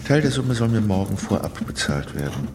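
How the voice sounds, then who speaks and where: A scheming, money-hungry count from revolutionary France. His voice is on the low side and full of gravel, set in a reverberant radio drama scene. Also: big pitch swings, brisk. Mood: urgent, calculating. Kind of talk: German radio drama acting. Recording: echoey room with clear background noise.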